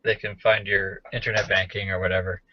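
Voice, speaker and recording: A man's voice: low voice, little pitch movement, a little fast, background noise. A man chatting casually and wryly as a podcast co-host, telling anecdotes. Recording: dull, lo-fi captured call audio.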